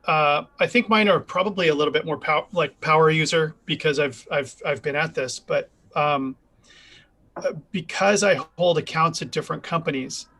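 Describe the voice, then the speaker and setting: Bright, higher-voiced man asking questions. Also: moderate pace. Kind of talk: casual question on a call. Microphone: video call.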